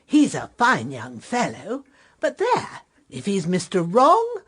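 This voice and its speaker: An actress playing a kindly, shrewd old grandmother with a husky, quavering voice, in radio drama style. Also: mid-range voice, wildly swooping pitch, slow, fairly bright tone, full character voice. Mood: kindly, soothing. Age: older.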